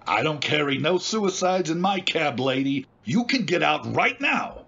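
An actor playing a blunt, wary working-class cab driver in an old-time radio drama style. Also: male voice, a little high, sing-song, a little slow, vocal fry, fairly bright tone, lo-fi sound, exaggerated voice. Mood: alarmed. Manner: blunt, colloquial, incredulous.

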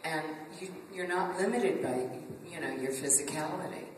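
A woman voice actress with a deep voice, speaking earnestly on a convention panel. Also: normal speed. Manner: earnest, self-described deep voice. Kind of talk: casual convention Q&A. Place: large convention function room. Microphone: table mic seen from far camera. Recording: echoey hall from far off, hiss.

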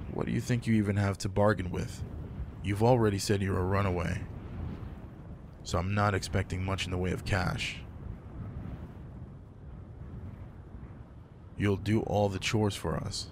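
A man playing a gruff but caring werewolf pack alpha in an intimate roleplay audio drama, speaking in a low-key, casual, matter-of-fact way. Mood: skeptical. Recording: noticeable background noise.

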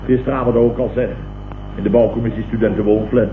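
An actor playing a pompous, indignant company boss in a 1970s Dutch radio comedy, mockingly echoing what others say. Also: male voice, on the low side, steady tone, fast, phone-quality sound, lightly acted. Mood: exasperated. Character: blustering, indignant self-satisfied company boss and uncle. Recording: noisy, roomy old radio broadcast recording.

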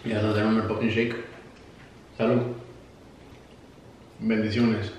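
A man's voice: fairly low pitch, flat delivery, unhurried, a little mellow. Young guy joking around, stutters, mixes english and spanish, echoey room. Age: young adult. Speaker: family vlogger. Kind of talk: casual chat. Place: living room, sitting at a table eating. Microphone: far camera. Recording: echoey room, distant mic, faint hiss.

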